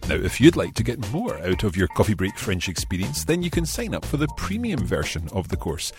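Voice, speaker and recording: A man's voice: fairly low pitch, very animated, brisk, music in the background. A man teaching a language lesson as host, carefully breaking phrases down and translating them in a didactic way. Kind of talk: explanatory French grammar lesson, phrase by phrase.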